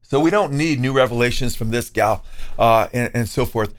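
A man in a relaxed talk-show conversation who keeps pulling in verses and the occasional Greek term. His voice sits at an ordinary middle pitch, and he talks in a loose, conversational way. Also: normal speed. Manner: conversational, quotes scripture with Greek terms. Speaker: co-host, pastor. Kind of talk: casual discussion. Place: California.

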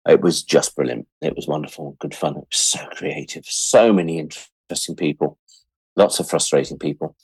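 A man's voice: very deep voice, moderate pace, darkish tone, slightly rough, dry recording, rough recording. A British man in his sixties telling anecdotes as an interview guest in a chatty, self-deprecating way, acting out bits of dialogue. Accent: British English. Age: 60s. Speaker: interview guest, writer-producer. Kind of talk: animated anecdotal storytelling in an interview.

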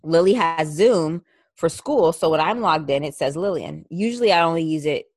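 A woman's voice: sits low, animated, moderate pace, no echo, clean studio sound. A woman in her thirties co-hosting a podcast, bantering and telling stories in a blunt, teasing, casual way. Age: 30s. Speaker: podcast co-host. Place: remote video call.

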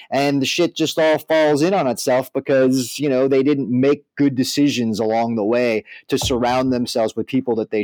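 Mid-pitched man on a dry-sounding podcast. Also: a little mellow, no room sound, rough recording. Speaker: podcast co-host, music artist manager. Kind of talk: podcast discussion with some read-out article passages.

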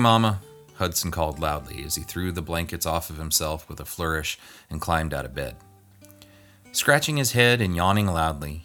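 Deep-voiced man narrating a story over music. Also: fairly bright tone. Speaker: guest reader.

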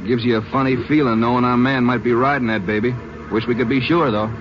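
An actor playing an eager, talkative deputy lawman in a 1950s radio drama, speaking briskly. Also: mid-range voice, fairly even intonation, background noise, close to an everyday voice. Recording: muffled old radio broadcast.

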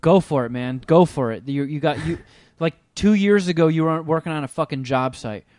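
A man's voice: on the high side, moderate pace, a little mellow, no room sound, clean studio sound. A man hosting a podcast, telling loose, profane, self-mocking stories in casual chat. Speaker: podcast host.

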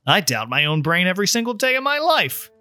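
A man's voice: sits high, big pitch swings, fairly quick, sharp tone, dry recording, voice pushed up, full character voice. A man reading the part of a flustered, skeptical, sarcastic man who doubts himself, in a storybook narration. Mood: disbelieving, sarcastic. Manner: incredulous, sarcastic.

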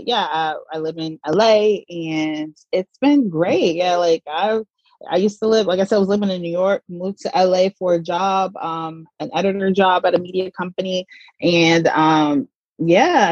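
A chatty woman in her thirties from the Southern United States, talking casually as a podcast guest, with plenty of fillers and light laughter. Her voice sits a bit low for a woman. It comes through dull and lo-fi, in a dry space with no room sound. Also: a little mellow, no room sound. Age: 30s. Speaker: podcast guest, platform creator. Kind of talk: casual interview answers.

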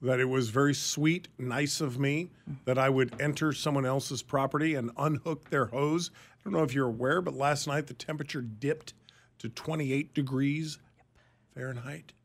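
A man's voice: mid-range voice, fairly even intonation, moderate pace. A man co-hosting a radio show who banters playfully and keeps stopping his partner's reports in disbelief. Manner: playful, incredulous, stops the reading to question it. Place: radio studio. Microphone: studio microphone.

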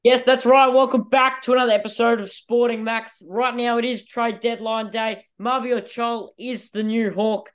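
Male podcast host, high-pitched, enthusiastic interviewer. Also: fairly even intonation, normal speed, few pauses, a bit bright. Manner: upbeat, eager questions.

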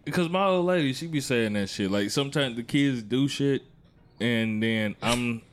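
A middle-aged man hosting a casual podcast chat, laid-back and musing, often pausing and restarting. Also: mid-range voice. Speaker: podcast host.

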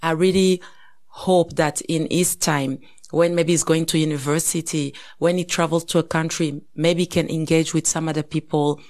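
A woman in her thirties from Congo talks through her thoughts on a podcast. Her voice sits somewhat low, and she speaks reflectively, pausing and restarting as she goes. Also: steady tone, a little mellow. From Congo (DRC). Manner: reflective, thinks aloud with many fillers and restarts. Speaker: podcast co-host. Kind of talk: casual conversation.

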